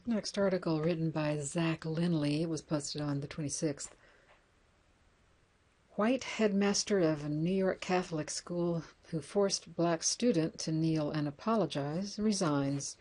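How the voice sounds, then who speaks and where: An American woman reading news articles and book excerpts aloud as a reader, in a measured, plain announcer-like way. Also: sits low, darkish tone, poor audio.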